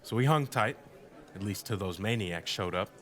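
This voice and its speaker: A man's voice: fairly low pitch, animated, normal speed, fairly bright tone, some chatter behind. An actor playing a wry, self-deprecating husband being interviewed in a radio drama, telling his story in a sardonic, conversational way. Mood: dryly understated. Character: wry, indignant husband recounting blackout night, interviewee.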